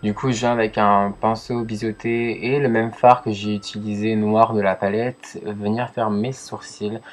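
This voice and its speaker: A man's voice: lowish voice, level tone, normal speed. A young man with a head cold talking through a casual makeup tutorial, hesitant and full of fillers. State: congested with a cold. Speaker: makeup vlogger.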